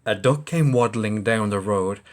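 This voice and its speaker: A man's voice: fairly low pitch, a little slow. A man reading a rhyming children's storybook aloud expressively, like a father at bedtime.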